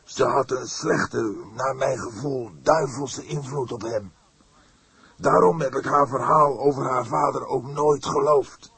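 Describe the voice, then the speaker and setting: A man's voice: medium pitch, measured pace, vocal fry, fairly bright tone, husky, voice pushed up, exaggerated voice. A man voicing a fervent, pious religious father in an audiobook, speaking in a solemn, declamatory way. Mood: fiery, solemn. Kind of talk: audiobook character acting.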